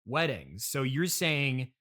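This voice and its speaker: A man's voice: mid-range voice, sing-song, takes it slowly, bright, forceful tone. A man hosting a casual comedy interview, polite, hesitant and mildly bemused. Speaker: podcast host, interviewer.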